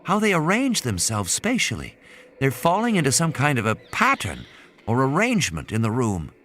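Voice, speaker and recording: A man's voice: medium pitch, wildly swooping pitch, normal speed, fairly bright tone, a little hiss or hum. A man voicing an earnest, observant woman scientist, insistent and curious, in audiobook dialogue. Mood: insistent, intrigued.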